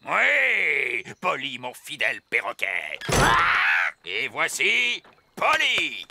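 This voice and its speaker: Gravelly, theatrical pirate elf. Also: male voice, medium pitch, huge pitch swings, very slow, background noise, exaggerated voice. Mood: dramatic reminiscing. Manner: dramatic, swooping storytelling. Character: small old seafaring pirate elf uncle, theatrical storyteller. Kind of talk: children's cartoon voice acting. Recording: music behind the voice.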